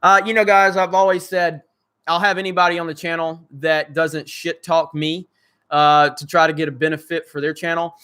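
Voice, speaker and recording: Bright, crackly-voiced male streamer. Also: a little high, flat delivery, normal speed, smooth. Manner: blunt, swears freely, loose and joking. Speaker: channel host. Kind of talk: casual livestream chat reading viewer comments.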